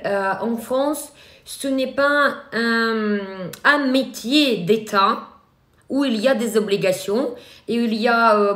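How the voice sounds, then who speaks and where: Female trainer, Ukrainian accent, higher pitch, hesitant live-stream delivery, roomy echo. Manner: hesitant, many filler sounds and restarts. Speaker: eyelash extension trainer. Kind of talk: live online workshop talk. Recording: echoey room.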